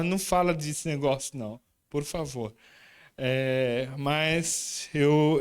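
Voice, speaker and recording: A man's voice: on the high side, smooth. A man preaching in a church, introducing his student group and then moving into the sermon with chatty, informal asides to the congregation. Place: church sanctuary.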